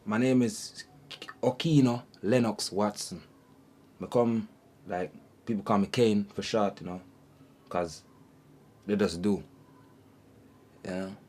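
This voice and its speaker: A young man from Jamaica talks about his upbringing in a hesitant way, leaning on fillers. His voice is low and mellow. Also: slow, long pauses. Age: young adult. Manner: hesitant, with many "you know" fillers. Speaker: hostel resident. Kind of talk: interview about his life.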